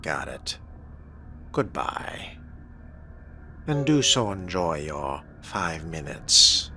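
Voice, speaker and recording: A man's voice: extremely low pitch, big pitch swings, very slow, a little hiss or hum. A man playing a video game in character, speaking his part with wry, formal and theatrical phrasing. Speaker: role-playing game player. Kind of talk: in-character game role-play commentary. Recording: loud game music behind the voice. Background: loud game music.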